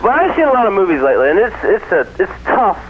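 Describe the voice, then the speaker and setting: A man's voice: sits high, very animated, fast talker. A man calling in to a radio show, chatting casually and rambling with lots of fillers. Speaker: caller. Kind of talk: casual call-in chat. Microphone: phone line. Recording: dull lo-fi phone line with faint noise.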